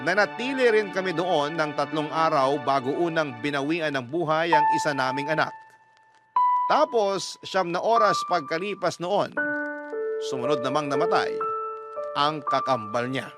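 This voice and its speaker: A woman's voice: low-pitched, animated, moderate pace. A woman with a Filipino accent playing a sorrowful parent who recounts a family tragedy in scripted first-person narration. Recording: loud music bed under narration.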